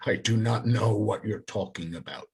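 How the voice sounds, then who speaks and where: A reader voicing a defensive man denying an accusation, with a raspy voice. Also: medium pitch, moderate pace, a little mellow. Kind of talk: dramatic scripture reading.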